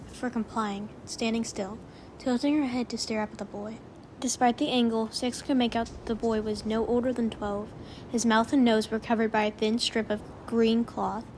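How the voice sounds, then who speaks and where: A woman's voice: fairly high pitch, a little mellow, slight background noise, rough recording. A woman narrating a story from a script, as in an audiobook of fan fiction.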